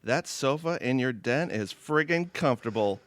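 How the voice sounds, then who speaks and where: A hearty jock friend, acted by a man in a radio comedy, brash and upbeat. His mid-range voice is lively and recorded dry and close. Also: medium pitch, normal speed, no room sound, lightly acted. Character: hearty, athletic ex-football-player buddy. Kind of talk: audio comedy acting.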